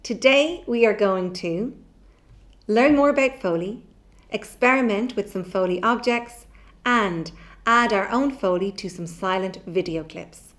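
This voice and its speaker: A middle-aged woman presenting a children's workshop in a clear, deliberate, expressive way. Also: mid-range voice. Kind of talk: scripted lesson presentation for children. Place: small indoor room. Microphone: microphone on the desk close by.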